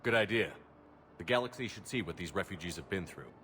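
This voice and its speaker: An actor playing a steady, helpful military commander in a video game, terse and matter-of-fact. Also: male voice, sits low, brisk, soft background music.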